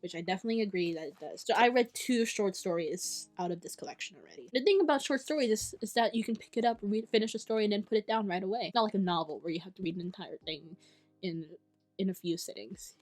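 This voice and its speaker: A young woman talks straight to camera about the books she plans to read. Her voice sits in a middle pitch, and her delivery is chatty and enthusiastic. She keeps breaking off into quick asides and correcting herself. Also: faint music behind. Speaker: book vlogger. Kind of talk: casual chat to camera.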